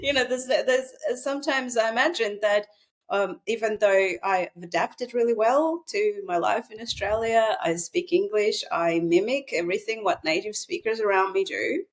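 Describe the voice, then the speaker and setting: A woman speaks as a therapist guest in an unscripted, reflective conversation, haltingly thinking aloud. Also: medium pitch. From Russia. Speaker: psychotherapist guest.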